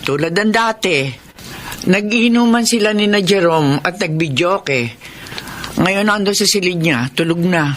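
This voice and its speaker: An actress playing a patient older household caretaker in a radio drama, deferential and matter-of-fact. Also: female voice, on the low side, a little slow, slight background noise, lightly acted. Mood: patient, subdued.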